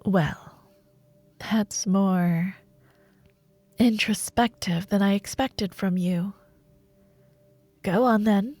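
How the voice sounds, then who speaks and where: A woman playing a taunting, imperious dark warlord sorceress in a roleplay audio drama, speaking in a theatrical, sardonic way with lingering pauses. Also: medium pitch, dry recording, faint music behind. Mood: taunting, probing.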